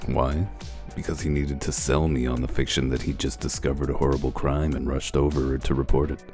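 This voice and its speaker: An extremely low, gruff detective voice, acted by a man, dry and deliberate. He lays out his reasoning with smug method, like a hardboiled comic-book narrator, over background music. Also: level tone. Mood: smug, methodical. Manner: dry, deliberate, self-assured explaining. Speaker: detective character and first-person narrator. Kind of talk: comic dub acting, detective monologue. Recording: music under the voice.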